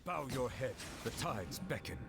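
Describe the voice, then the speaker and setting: Gravelly, commanding battle hero. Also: male voice, medium pitch, big pitch swings, measured pace. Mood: imperious. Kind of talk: video game battle lines. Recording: loud game music and effects behind.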